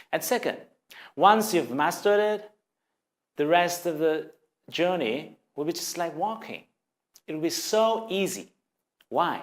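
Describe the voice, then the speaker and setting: A man with a Vietnamese accent, a native Vietnamese speaker, giving an earnest, encouraging English lesson straight to the viewer. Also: fairly high pitch. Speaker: language teacher. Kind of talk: scripted video lesson.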